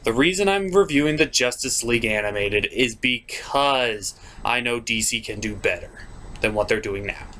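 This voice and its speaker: A man's voice: mid-range voice, moderate pace, fairly bright tone, slight background noise. A man hosting a comedic puppet-show review, narrating scripted commentary in a sarcastic manner.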